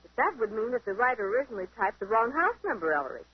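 A woman's voice: on the high side, very animated, very fast. An actress playing an inquisitive young female secretary and sidekick, eagerly questioning in 1940s radio mystery style. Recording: muffled old-time radio broadcast.

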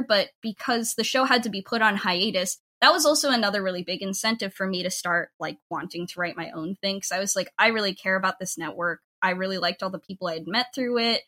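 A young adult woman talking casually and emphatically in an interview as a podcast creator, with an informal, animated way of speaking. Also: medium pitch. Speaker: podcast network head and audio drama writer.

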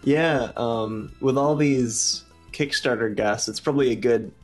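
A man's voice: medium pitch, a little slow, faint music behind. A man co-hosting a casual podcast, joking in a dry way. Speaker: podcast co-host.

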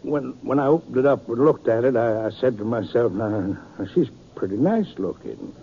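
A raspy, sly western outlaw, middle-aged and unrepentant, drawling in a rustic, taunting way. The recording is dull vintage 1950s radio. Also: male voice, medium pitch, lively intonation, moderate pace. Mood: sly, needling.

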